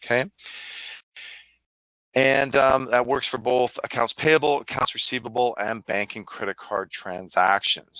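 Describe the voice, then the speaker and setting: A man giving a live online software demonstration, explaining informally and haltingly over a conference call. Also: medium pitch, steady tone, no echo. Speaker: software demo presenter. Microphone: phone or web conference call. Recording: narrow phone-like line.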